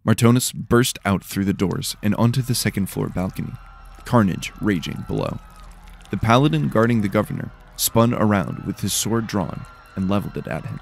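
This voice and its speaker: A man with a slightly tired, worn voice reading scripted, dramatic dark fantasy narration. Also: on the low side, animated, normal speed, gravelly, soft background music.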